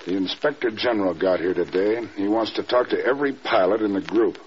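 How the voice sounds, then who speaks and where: An actor playing a loyal, dry-humored military adjutant in a vintage radio drama, wry and matter-of-fact. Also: male voice, lowish voice, brisk, natural voice. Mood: concerned, dry. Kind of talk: 1940s-50s radio drama acting. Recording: muffled radio recording, loud background noise.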